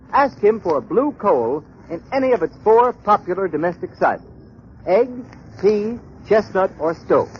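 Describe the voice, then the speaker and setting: A man announcing a sponsor's advertisement in an emphatic, scripted 1930s radio style. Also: high voice. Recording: muffled, noisy 1930s radio broadcast recording.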